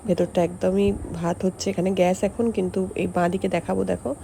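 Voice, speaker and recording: A woman's voice: on the low side, flat delivery, a little fast, vocal fry, a crowd in the background. A woman with a West Bengali accent hosting a casual vlog, talking directly and conversationally to her viewers. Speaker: vlog host. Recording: noisy on-location recording with loud ambience.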